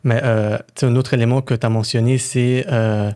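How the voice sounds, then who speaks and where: A man's voice: mid-range voice, little pitch movement, moderate pace, mellow. A man talking casually and reflectively as a partner in an interview conversation.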